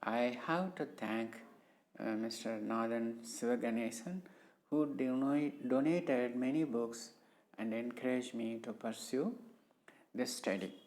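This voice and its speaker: Man, middle-aged, Indian English accent, native Tamil speaker, unhurried halting delivery, frequent ums and uhs, mid-pitched. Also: darkish tone, rough recording. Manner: halting, many pauses and fillers. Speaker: civil engineering professor presenting his research. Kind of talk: slow, hesitant screen-recorded talk.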